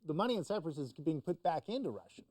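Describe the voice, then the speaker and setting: A man with a slightly high, very mellow voice comments on the news. He explains things conversationally, feeling his way through informally, and the sound is dry with little room in it. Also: sing-song, quick pace, dry recording. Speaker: news commentator on a talk show.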